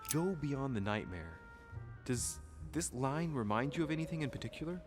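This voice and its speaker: A male actor plays a courteous young gentleman in a game drama. His mid-pitched voice moves expressively and stays formal and earnest, with faint music underneath. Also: expressive, moderate pace, lightly acted. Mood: inquisitive, polite. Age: young adult. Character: polite, earnest young gentleman investigating a mystery. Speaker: video game protagonist.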